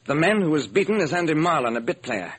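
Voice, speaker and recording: A man playing a nervous, middle-aged horror film actor in a radio drama, halting and melodramatic. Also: a little high, very animated, husky. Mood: grave, fearful. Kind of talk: classic radio drama acting.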